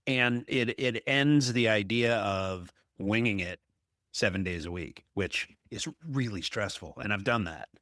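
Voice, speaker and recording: A man with a fairly deep voice hosts a business podcast. He speaks conversationally and emphatically, telling things through anecdote and tagging points with a questioning "right?". The sound is very dry, with no room to it. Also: unhurried, a bit bright, no room sound. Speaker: podcast host, apartment investor.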